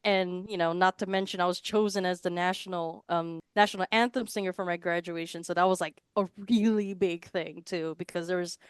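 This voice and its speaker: A young woman from Hawaii chats loosely as a podcast guest, piling on "like" and side remarks. Her voice is smooth and clean, close to the mic in a dry setting. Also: lowish voice, fairly even intonation, brisk, hardly any pauses, no echo. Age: 20s. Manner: chatty, rambling, lots of "like". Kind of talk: casual interview answers.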